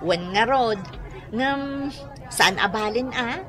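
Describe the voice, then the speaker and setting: Actress as cheerful vain neighbour woman, bright forceful tone, high pitch, Ilocano accent, giggly, radio comedy. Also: sing-song, drawn-out words, exaggerated voice. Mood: cheerfully vain. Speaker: radio drama actor.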